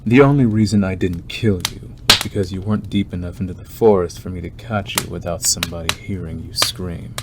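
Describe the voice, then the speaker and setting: A man playing a predatory, obsessive male werewolf in an ASMR roleplay, talking in a deliberate, teasing way with drawn-out pauses. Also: deep voice, very noisy, lightly acted. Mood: menacing reminiscence.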